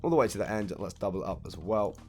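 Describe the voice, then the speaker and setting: A man with a fairly low, croaky voice, raspy from a cold, explains a build at an easy, chatty pace. He counts blocks aloud and shrugs off his own mistakes. Soft music plays quietly underneath. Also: lively intonation, quick pace. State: hoarse, croaky after a cold. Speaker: video game building tutorial host. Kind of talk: casual step-by-step gameplay walkthrough. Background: faint background music.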